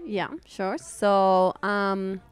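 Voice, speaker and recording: A woman, a guest in an interview, warm and quick to laugh. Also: medium pitch, monotone, clean tone. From Ecuador. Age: 30s. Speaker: guest, trail runner. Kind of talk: interview chat. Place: pop-up store at a race event.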